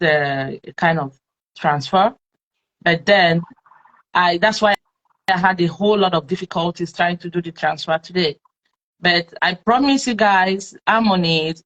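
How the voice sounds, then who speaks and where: A woman's voice: fairly low pitch, poor audio. A Nigerian woman hosting a live-streamed talk show, chatting with followers and leaning on plenty of fillers. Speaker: host.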